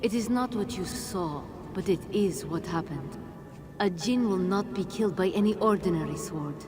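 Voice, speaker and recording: An actress playing a mysterious, wise female guide in a video game cutscene, speaking in a grave, measured, authoritative way. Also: medium pitch, music in the background, close to an everyday voice. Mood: grave, insistent.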